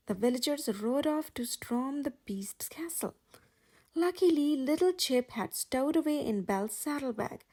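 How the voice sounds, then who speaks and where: Female storybook narrator, higher-pitched and husky-breathy, expressive delivery for young listeners. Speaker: children's storybook reader. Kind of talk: expressive scripted read-aloud narration for children.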